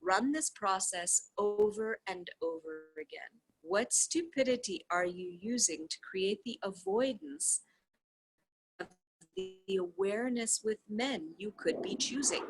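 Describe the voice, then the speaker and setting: A woman's voice: mid-range voice, expressive, unhurried, a bit bright, a little hiss or hum, low-quality recording. A woman reading a conversational self-help book aloud, voicing its questions and answers with expression. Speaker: reader of a book read-along.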